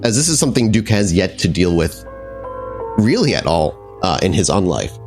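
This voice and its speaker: A man's voice: deep voice, big pitch swings, moderate pace, over music. A man narrating a tabletop roleplaying game as storyteller and host, in a theatrical, scene-setting way. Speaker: game master and podcast host.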